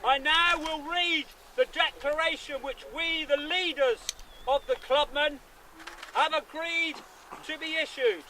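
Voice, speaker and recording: A man playing a defiant seventeenth-century country lawyer, declaiming deliberately to rally his armed neighbours outdoors in a historical reenactment. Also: very high voice, bright, forceful tone, heavily acted. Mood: solemn. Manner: declamatory, deliberate, with emphatic pauses. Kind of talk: reenacted historical public speech. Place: outdoors at a rural gathering. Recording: outdoor recording with background noise.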